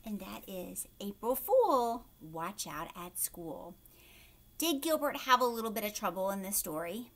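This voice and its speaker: A woman reading a children's story aloud like a teacher, expressively, pausing to explain and ask young listeners questions. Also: mid-range voice, big pitch swings, fairly bright tone. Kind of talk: animated children's read-aloud with explanatory asides.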